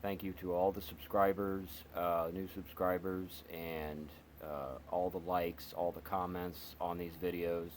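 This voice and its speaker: A man's voice: low voice, a little hiss or hum. A man rambling through a casual radio review, full of fillers and restarts. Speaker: radio reviewer, channel host. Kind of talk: casual product review vlog.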